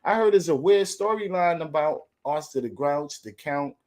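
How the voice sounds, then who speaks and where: A man, rambling and animated as a co-host in a casual comedy podcast chat. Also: a little high, normal speed.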